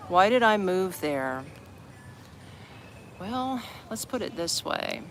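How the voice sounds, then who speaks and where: A man's voice: high-pitched, a bit bright. An American man from Florida chatting casually to live-stream viewers from a city park, rambling and greeting people as they join. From Orlando, Florida, USA. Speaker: expat livestreamer. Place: outdoors on steps in a busy city park. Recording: noisy outdoor city street. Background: street and traffic noise.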